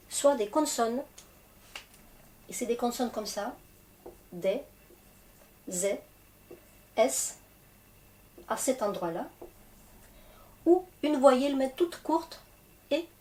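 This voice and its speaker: A woman with a Russian accent and a cold, teaching a language lesson, slow and patient as she spells out word endings. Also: a little high, animated, a little mellow. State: has a cold.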